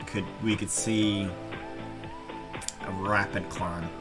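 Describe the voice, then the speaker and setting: Slow, low-voiced man chatting on livestream. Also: steady tone, over loud music. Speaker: livestream host. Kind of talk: casual livestream chat.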